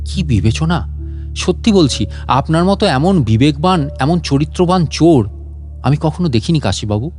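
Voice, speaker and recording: A man voicing a short, pot-bellied gentleman in a comic story, meek, over-polite and naively admiring. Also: fairly high pitch, animated, moderate pace, faint music behind, close to an everyday voice. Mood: awed admiration.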